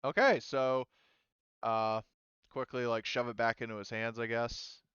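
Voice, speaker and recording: A man's voice: medium pitch, steady tone, unhurried, a bit bright, clean tone, no echo, highs cut off. A man running a tabletop roleplaying game as game master, casual and hesitant, often thinking aloud as he checks the rules.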